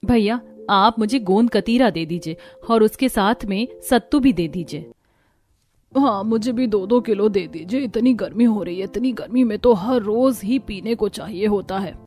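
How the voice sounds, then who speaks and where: A woman's voice: medium pitch, normal speed, a little mellow, lightly acted. A woman playing a weary, complaining village housewife in cartoon dialogue. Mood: matter-of-fact.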